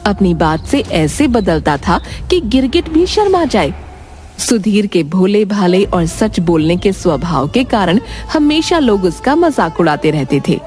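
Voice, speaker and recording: A woman's voice: medium pitch, big pitch swings, a little slow, a little mellow. A woman narrating a scripted children's cartoon story in Hindi. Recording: music behind the voice. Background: background music.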